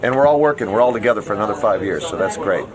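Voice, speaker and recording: A middle-aged man gives casual interview answers. His voice is fairly low and he repeats words for emphasis. A crowd is plainly audible nearby. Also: lively intonation, racing, low-quality recording. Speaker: radio show staff member. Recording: busy room with chatter.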